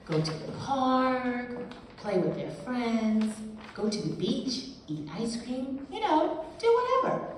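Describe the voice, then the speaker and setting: An easygoing, caring mother in an amateur puppet play, acted by a woman. The delivery is slow and scripted, with lively swings in pitch. The sound is distant and heavily echoing. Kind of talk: amateur puppet show dialogue and stage directions. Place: indoor puppet show performance, distant mic. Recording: echoey hall, far mic, faint noise.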